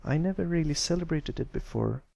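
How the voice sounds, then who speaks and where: Man voicing a shy, higher-pitched young colt. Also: expressive, brisk, gravelly, dark tone, no echo, exaggerated voice. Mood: puzzled. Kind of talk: character voice in storybook reading.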